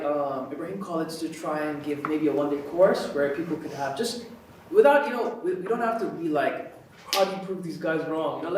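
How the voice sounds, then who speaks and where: A man in his thirties from Kuwait lectures informally in a very echoey room, picked up at a distance from the mic. His voice sits a little high for a man, and he talks conversationally, often checking that listeners follow. There is faint noise in the recording. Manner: conversational, frequently checks in with "right?". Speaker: lecturer, university professor teaching a course.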